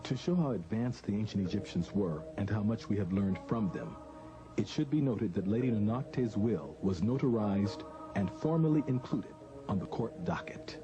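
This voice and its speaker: A man with an American accent narrates in a low voice, formal and measured, like a documentary host. Music plays clearly behind him, and the sound is dull, as on a dated video. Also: animated. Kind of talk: scripted documentary narration and interviewing.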